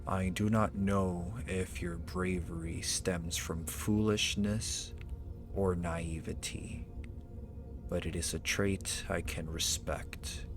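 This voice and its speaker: An ancient, proud dragon acted by a man speaks in a deep voice at an extremely slow, measured pace. The phrasing is archaic, formal and imperious, delivered as a theatrical ASMR roleplay monologue with music clearly playing behind it. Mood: imperious, intrigued. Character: ancient, proud male dragon summoned as a familiar.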